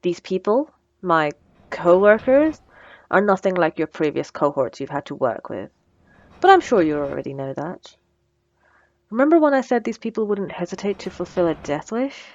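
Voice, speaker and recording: A woman's voice: fairly low pitch, big pitch swings, moderate pace, darkish tone, no room sound, muffled recording. A woman playing a wry, menacing supervillain in a scripted audio roleplay, teasing and condescending, with cool threats. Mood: stern, threatening. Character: wry, menacing female supervillain mentoring a captive assistant.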